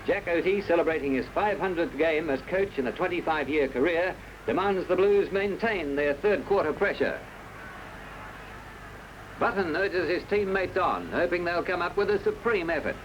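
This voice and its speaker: A man with an Australian accent narrating a scripted 1970s sports newsreel in formal, measured phrasing. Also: high voice, expressive. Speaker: newsreel commentator. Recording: dull, noisy old newsreel soundtrack.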